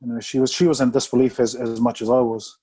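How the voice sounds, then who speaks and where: A man, a Samoan speaker, chatting casually as a rugby player guest in a relaxed, rambling way. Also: mid-range voice, quick pace, breathy edge. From New Zealand. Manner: relaxed, rambling, full of "mate" and "bro". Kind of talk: casual podcast interview.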